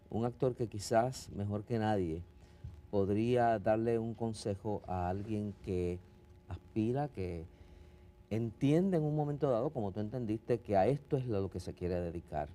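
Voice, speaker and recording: A Puerto Rican man hosting a TV interview, asking his guest questions in a measured, formal way. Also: fairly low pitch, dark tone.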